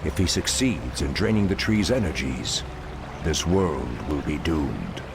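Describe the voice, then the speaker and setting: An actor playing an ancient night elf archdruid in a fantasy game, with grave, formal, commanding speech. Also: male voice, very animated, unhurried, background noise, exaggerated voice. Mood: grave, measured. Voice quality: very deep, gravelly. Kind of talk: dramatic fantasy game acting. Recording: orchestral game music behind voice. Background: loud music.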